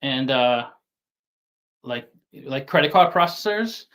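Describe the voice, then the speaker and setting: A man from New York City with a softened New York accent, talking casually as an interview guest, halting and often repeating words as he restarts. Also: medium pitch, expressive. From Staten Island, New York City. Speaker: guest investor.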